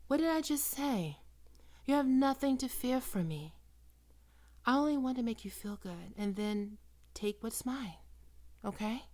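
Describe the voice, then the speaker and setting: A woman playing a possessive, predatory female vampire in an ASMR roleplay, coaxing and cooing in a breathy voice that often drops into whispers. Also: fairly high pitch, expressive, mellow. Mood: condescending, possessive. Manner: slow, cooing, condescending endearments.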